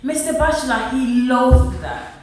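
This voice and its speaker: A woman's voice: sits high, lively intonation, measured pace, a little mellow, slight background noise. A woman acting in a radio play as a poor, worn-down, protective mother who speaks plainly and pleadingly. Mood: regretful. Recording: very echoey room sound.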